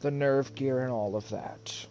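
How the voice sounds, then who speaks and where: A man's voice: medium pitch, fairly even intonation, unhurried, mellow, slight background noise. A man giving casual, musing commentary as he streams a video game. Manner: chatty, musing. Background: faint game music.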